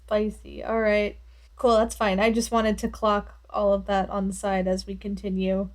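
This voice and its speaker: A woman's voice: medium pitch, monotone, moderate pace. A woman playing a tabletop role-playing game, chatting casually and playfully as she describes her character's actions.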